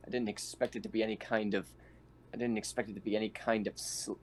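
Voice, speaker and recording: A man's voice: lowish voice, level tone, fast, slight background noise. A man improvising casually as a player in a tabletop roleplaying game, in a hesitant, stumbling manner.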